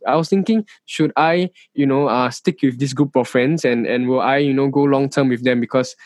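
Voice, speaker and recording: A young Singaporean man speaking as an interview guest, pausing and restarting his sentences as he works out his thoughts. His voice has an ordinary, mid pitch and is recorded dry, with no room sound around him. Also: darkish tone, dry recording. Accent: Singaporean English. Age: young adult. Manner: hesitant, many restarts and fillers. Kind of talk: casual interview chat.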